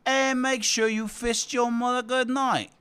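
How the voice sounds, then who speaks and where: Guy putting on silly british cartoon salesman, high bright voice, chatty and shameless. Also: flat delivery, unhurried, smooth, exaggerated voice. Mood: cheeky.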